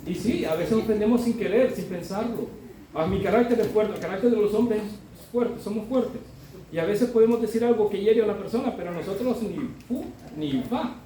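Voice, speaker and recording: A middle-aged man preaches in a high, mellow voice. He talks with his congregation in a conversational way and keeps asking them to agree with him. He is recorded from far off in a very echoey church hall with faint room noise behind him. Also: moderate pace. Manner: conversational, often adds tag questions; builds to loud emphatic exhortation. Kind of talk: sermon.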